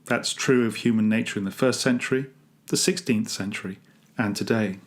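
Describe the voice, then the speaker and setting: A man with a fairly low voice reads a scripted church meditation. He is measured and earnest, shaping his phrases reflectively like a rector addressing listeners. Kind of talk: scripted devotional reading, reflective narration.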